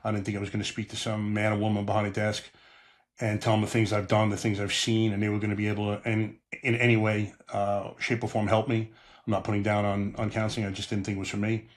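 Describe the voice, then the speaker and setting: A man's voice: lowish voice, level tone, fast. A middle-aged man with a Long Island New York accent, hosting a podcast in plain, earnest talk with frequent "uh" fillers. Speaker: podcast host. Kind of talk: podcast monologue and interview.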